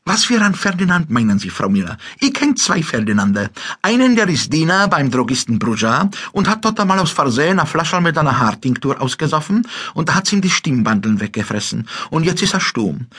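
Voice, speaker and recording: An actor playing a chatty, simple-minded Czech ex-soldier and dog seller with a Bohemian-tinged Austrian accent, in a comic audiobook. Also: male voice, on the high side, sing-song, brisk, fairly bright tone, slightly rough, exaggerated voice. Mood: rambling, naive. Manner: rambling, folksy, mock-naive.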